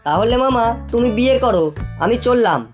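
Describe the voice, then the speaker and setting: A sly, greedy cartoon fox, voiced by a woman, scheming and wheedling in a fairly high voice. The delivery is expressive children's storybook acting, with music clearly underneath. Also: normal speed, natural voice. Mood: sly, coaxing. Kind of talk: animated children's folktale acting.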